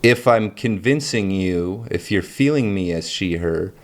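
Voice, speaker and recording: A man with a low voice hosting his own channel, talking through ideas in a rambling, reflective monologue full of fillers and frequent laughter. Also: lively intonation, a little slow. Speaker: channel host.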